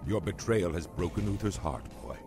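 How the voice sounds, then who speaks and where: An actor playing a grave, sorrowful old knight in a fantasy game, reproachful in tone. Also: male voice, low voice, expressive, normal speed, gravelly, darkish tone, soft background music.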